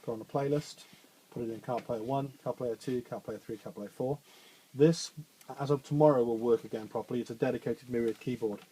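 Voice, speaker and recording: A man with a bassy voice walks trainees through a radio studio desk in a chatty, informal way, full of fillers and restarts. His pitch sits at an ordinary middle height. A faint hiss runs behind him. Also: steady tone, brisk, warm tone, low-quality recording. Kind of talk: hands-on technical demonstration.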